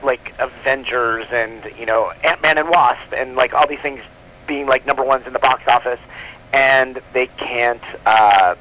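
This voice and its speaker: A man's voice: mid-range voice, fairly even intonation, measured pace, sharp tone, slight background noise, rough recording. A man chatting casually as an interview guest, explaining his business in an informal, rambling way, often rephrasing mid-sentence. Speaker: guest, comic book publisher.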